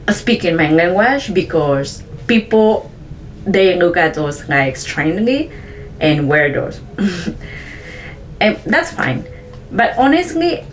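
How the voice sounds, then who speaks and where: A woman's voice: medium pitch, slight background noise, poor audio. Earnest woman, Mayan-speaking vlogger. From Chiapas, Mexico. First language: Chong (a Mayan language). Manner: earnest, simple sentences, careful non-native English. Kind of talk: reflective personal narration.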